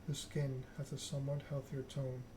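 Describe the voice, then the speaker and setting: A man softly reading a story game aloud in a hushed, measured ASMR narration. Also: mid-range voice, monotone. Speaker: video game let's-player reading the game aloud. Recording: clear background noise.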